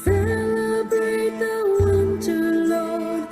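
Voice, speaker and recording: A woman's voice: extremely high pitch, fairly even intonation, very slow. A woman singing a folk-style seasonal carol with musical accompaniment. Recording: roomy echo, prominent music accompaniment.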